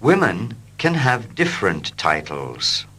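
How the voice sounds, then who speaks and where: A man reading short English dialogues slowly and clearly for learners, line by line. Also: on the low side. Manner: slow, clear and expressive. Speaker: English teacher. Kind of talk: slow scripted reading for learners.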